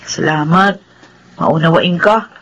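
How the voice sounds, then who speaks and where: A woman playing a hardworking, tomboyish young woman in a radio drama, speaking calmly and earnestly. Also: low voice, takes it slowly, dull audio, full character voice. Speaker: lead character. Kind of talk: Filipino radio drama acting.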